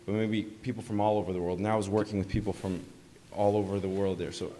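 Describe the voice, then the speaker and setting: Guy asking a panel question, low voice, halting, echoey hall. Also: little pitch movement, mellow, a little hiss or hum. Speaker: audience member asking a question. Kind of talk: extended question at a panel discussion. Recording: reverberant conference hall.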